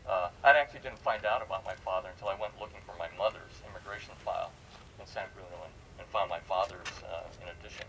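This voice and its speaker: A man's voice: sits low, fast talker, fairly bright tone. Man questioning students, distant mic. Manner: probing, rhetorical questions, repeats words. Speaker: panel speaker leading audience discussion. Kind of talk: informal talk, questioning students. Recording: distant mic, noisy room.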